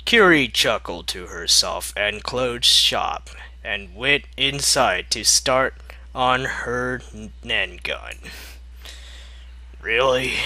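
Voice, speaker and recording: Very bright-voiced man reading slowly. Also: medium pitch, no room sound. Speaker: comedic fan fiction reader. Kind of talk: dramatic comedic read-aloud of a story.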